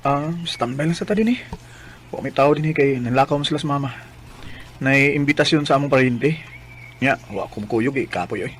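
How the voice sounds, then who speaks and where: A man's voice: medium pitch, brisk, a little hiss or hum, close to an everyday voice. A man with a Cebuano accent playing a sulky, pushy young boyfriend in a radio drama. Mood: casual, sly. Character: young male sweetheart Marco, idle, sulky, pushy. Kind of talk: Bisaya radio drama acting.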